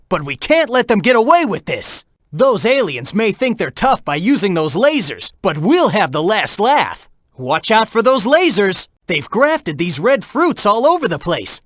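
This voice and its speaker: Cheeky teen hedgehog hero for a game, bouncy voice, dead dry studio sound. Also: male voice, high voice, no echo, exaggerated voice. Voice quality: youthful, bright, energetic. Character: cocky teen male hedgehog hero, upbeat. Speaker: video game character voice clips. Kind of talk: game voice acting, mission hints. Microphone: dry studio mic.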